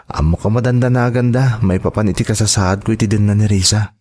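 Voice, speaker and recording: A man's voice: on the low side, fairly even intonation, quick pace, darkish tone, close to an everyday voice. A man playing an earnest, reassuring son and devoted husband in an audio drama. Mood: reflective, hopeful. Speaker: voice actor in a drama. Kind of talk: Ilocano audio drama acting.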